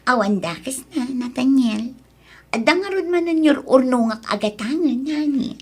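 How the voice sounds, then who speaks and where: A woman's voice: sits high, expressive, a little slow, husky, full character voice. An actress with an Ilocano accent playing a confident, ambitious young girlfriend who sweet-talks breezily in a radio drama. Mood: proud, teasing.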